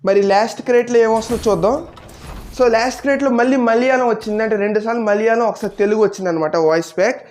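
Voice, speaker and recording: A woman's voice: a little high, rapid-fire, darkish tone. A woman hosting a gaming video with casual commentary to viewers.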